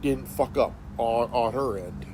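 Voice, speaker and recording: A man in his late forties talking in a casual monologue, halting with many fillers and restarts, sitting in a chair indoors. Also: medium pitch, a little mellow, a little hiss or hum. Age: 40s. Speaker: commentary channel host.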